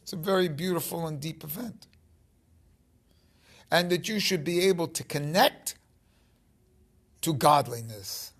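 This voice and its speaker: A man in his fifties giving an informal spiritual talk to his phone, halting and rambling, with emphatic stresses and a gravelly voice. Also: a little high, fairly bright tone. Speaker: spiritual teacher. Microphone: phone held close at arm's length.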